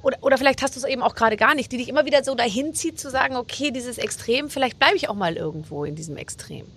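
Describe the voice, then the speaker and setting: A woman's voice: high-pitched, expressive, fast talker, a bit bright, a little hiss or hum. A woman hosting a casual interview, thinking aloud in winding, colloquial questions. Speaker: interviewer, host.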